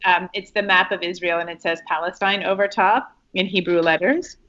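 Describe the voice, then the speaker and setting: A woman's voice: fairly low pitch, brisk, fairly bright tone, lo-fi sound. A woman comedian telling stories in a casual interview, chatty and hesitant, with many ums and restarts. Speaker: comedian guest. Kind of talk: casual storytelling chat.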